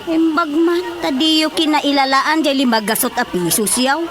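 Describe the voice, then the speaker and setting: An actress with an Ilocano accent playing a pestering, gossipy village neighbour in a radio drama comedy. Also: female voice, high voice, moderate pace, a crowd in the background, close to an everyday voice. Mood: insistent, exasperated.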